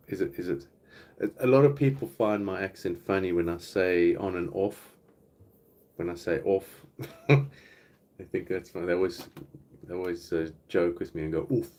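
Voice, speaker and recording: A middle-aged man with a South African accent, hosting a casual live Q&A stream from home. He chats in a relaxed, rambling way and reads out viewers' comments as he goes. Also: low voice, a little hiss or hum. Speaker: livestream host. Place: home, indoors.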